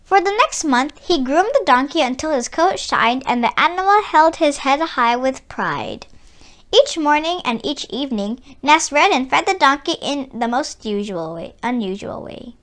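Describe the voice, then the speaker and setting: A woman reading a children's story aloud in an expressive, deliberate storytelling way. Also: high voice. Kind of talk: read-aloud storybook narration.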